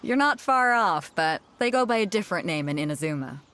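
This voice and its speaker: A woman's voice: on the low side, expressive, brisk, a bit bright, slight background noise. An actress voicing a hearty, confident female ship captain in a video game, with breezy, teasing delivery. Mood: teasing, amused. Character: bold, hearty female ship captain, swaggering and good-humoured. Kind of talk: video game story dialogue.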